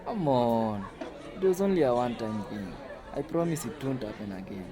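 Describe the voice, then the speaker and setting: Man playing earnest male employee, smitten and caring, amateur radio drama dialogue, mid pitch, noisy public background. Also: animated, moderate pace, crowd noise, natural voice. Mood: probing.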